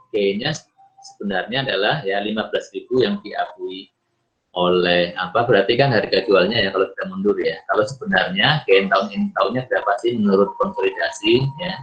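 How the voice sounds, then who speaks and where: Low-pitched Indonesian man lecturing, muffled sound. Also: a bit bright, slight background noise. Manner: didactic, asks and answers own questions. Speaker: accounting lecturer. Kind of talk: recorded lecture explaining slides step by step.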